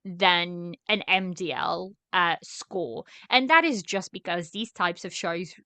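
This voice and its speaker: Bright-voiced chatty woman podcast host. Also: fairly low pitch, slow pace, no room sound. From Portugal. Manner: chatty, animated, many fillers. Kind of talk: casual podcast chat.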